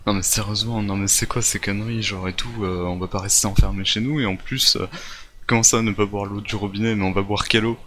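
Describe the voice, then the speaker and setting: A man's voice: on the low side, steady tone, fast, bright, forceful tone, a little hiss or hum. A man improvising a casual gaming roleplay, thinking aloud in colloquial, exclamatory bursts. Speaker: gaming video creator in roleplay.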